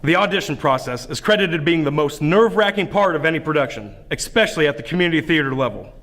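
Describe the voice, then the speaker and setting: A man's voice: fairly high pitch, lively intonation, quick pace, a bit bright. A man with a Midwestern American accent co-hosting a theater show, reading scripted lines with dramatic storytelling emphasis. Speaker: co-host, acting coach.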